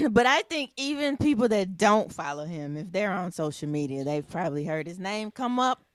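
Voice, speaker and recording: A woman co-hosting a casual podcast, speaking at an ordinary middle pitch. She explains at length, stopping to correct herself and filling pauses with ums. Her voice is dry and close to the mic. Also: sing-song, no room sound. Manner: rambling, self-correcting storytelling with many ums. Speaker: podcast co-host. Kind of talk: casual chat.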